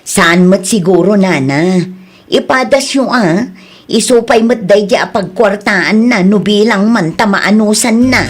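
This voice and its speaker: A woman's voice: medium pitch, measured pace, lightly acted. A Filipino woman playing a fretful middle-aged mother-in-law in an Ilocano radio drama, nagging and exclaiming as she worries over her family. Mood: fretting.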